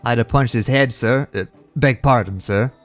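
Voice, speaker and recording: A man's voice: medium pitch, normal speed, soft background music, pitched above the performer's own voice, natural voice. A man voicing a nervous young bellboy with a Cockney accent, deferential and full of "sir". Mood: uneasy. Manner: deferential, keeps saying sir, rough grammar.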